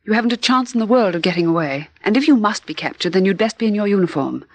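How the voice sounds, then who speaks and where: Genteel lady, middle-aged, decisive, plucky, medium pitch, dry close sound, radio play. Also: animated, fast talker, no room sound. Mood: firm, matter-of-fact. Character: plucky, decisive English-born lady living in Paris. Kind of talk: 1940s radio acting.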